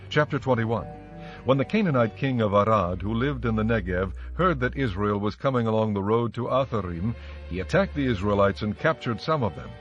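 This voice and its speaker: A man narrating scripture from a script in a solemn, measured way. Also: lowish voice, animated. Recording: slightly muffled studio track with music.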